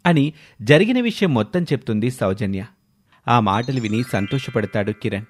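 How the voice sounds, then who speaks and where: A man's voice: mid-range voice, lively intonation, normal speed, slightly rough, faint music behind. A man narrating a scripted moral story as its storyteller.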